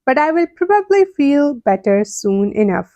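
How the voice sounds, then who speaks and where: A woman's voice: sits high, very animated, normal speed, mellow, no echo, pitched above the performer's own voice. A woman voicing a well-meaning, nosy male animal neighbour in a storybook, candid and matter-of-fact. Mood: sore, gentle.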